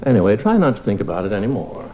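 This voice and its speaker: A man's voice: on the low side, big pitch swings, racing. A man playing a kindly, easygoing small-town doctor and father in an old radio comedy-drama, on a muffled recording. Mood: gentle concern. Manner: gentle, fatherly, conversational.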